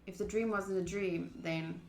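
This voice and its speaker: A woman's voice: on the low side, steady tone, unhurried, vocal fry, a little mellow, roomy sound, a little hiss or hum. A woman streaming a visual novel, informal and blunt, switching between live commentary and reading the game text aloud.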